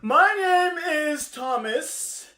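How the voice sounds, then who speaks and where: Woman playing an excitable high-pitched folktale bird. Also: very slow, full character voice. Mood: proud announcing. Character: excitable, gullible flock birds from a folktale. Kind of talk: animated oral storytelling.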